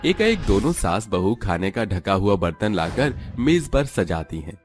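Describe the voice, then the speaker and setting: A man's voice: fairly low pitch, very animated, unhurried, soft background music. A man delivering scripted storytelling narration for a comic village tale.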